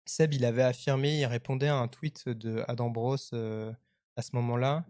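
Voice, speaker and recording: A man talks as the host of a live stream. His voice sits at an average pitch and is recorded very dry. He comments conversationally, often hesitating and feeling his way through each sentence. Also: steady tone, normal speed, a little mellow, no echo. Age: 30s. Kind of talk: live commentary on web culture, casual press review.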